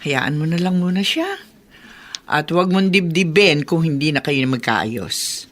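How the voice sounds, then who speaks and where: A woman's voice: low-pitched, a little slow. A woman playing a protective, frank elder confidant, giving advice in a radio drama. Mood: advising. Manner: frank, advising. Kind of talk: Filipino radio drama acting.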